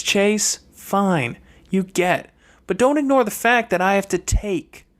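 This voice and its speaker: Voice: medium pitch, voice pushed up, lightly acted. A reader voicing a calculating young girl from a wealthy family in an audiobook, airy and pointed with a haughty disdain. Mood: insistent, calculating.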